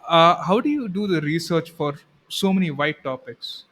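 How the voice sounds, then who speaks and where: A man's voice: a little high, normal speed, a bit bright. An Indian-accented man hosting a podcast in a casual interview style. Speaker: podcast host.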